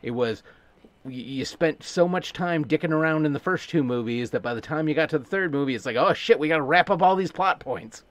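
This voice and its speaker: A man with a mid-range voice that swings widely in pitch, co-hosting a casual podcast. He talks in animated bursts, tossing in quick asides and casual swearing. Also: dry recording. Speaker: podcast co-host. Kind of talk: casual chat.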